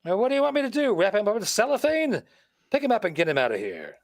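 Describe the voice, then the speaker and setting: Man playing a lively, higher-voiced radio detective. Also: fast, vocal fry, dry recording, clean studio sound, full character voice. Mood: wry, brisk. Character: shrewd, wisecracking male detective laying out a murder case. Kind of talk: 1940s radio mystery acting.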